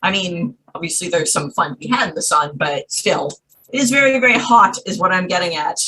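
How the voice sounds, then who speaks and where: A woman's voice: on the low side, lively intonation, a little fast, bright, forceful tone, slightly rough, low-quality recording. A woman chatting casually as a show guest in a blunt, sardonic way, recorded away from the mic. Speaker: weather show guest correspondent. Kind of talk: casual comedic chat.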